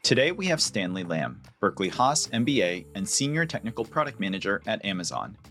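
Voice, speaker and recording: A man's voice: on the low side, a bit bright. A man hosting a podcast, interviewing a guest in a conversational way. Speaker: podcast host.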